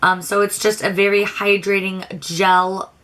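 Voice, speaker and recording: A young adult woman beauty vlogger talking casually through product reviews, candid and rambling with frequent restarts, her voice a little raspy. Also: mid-range voice, level tone, a little slow, a bit bright. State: slightly raspy voice.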